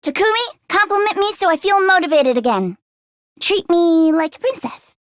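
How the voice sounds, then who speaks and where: A woman voicing an earnest, anxious teenage girl mechanic in an anime-style video game, expressive and shifting between timid and sassy. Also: very high voice, moderate pace, dry recording, studio quality. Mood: bossy. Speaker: voice actress.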